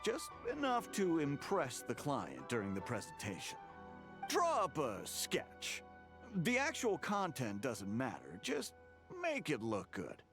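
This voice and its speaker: A pushy, condescending office boss. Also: male voice, mid-range voice. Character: demanding, dismissive office boss man. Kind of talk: game voice acting. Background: background music.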